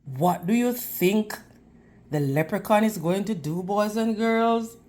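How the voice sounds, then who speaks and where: A woman's voice: medium pitch, takes it slowly, a little mellow. A woman reading a storybook aloud to young children in a teacherly manner, prompting her listeners with questions.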